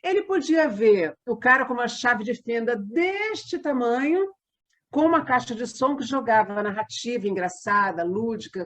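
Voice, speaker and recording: High-pitched Brazilian woman interviewee. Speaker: theatre company member, interview guest. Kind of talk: conversational interview, explaining her work.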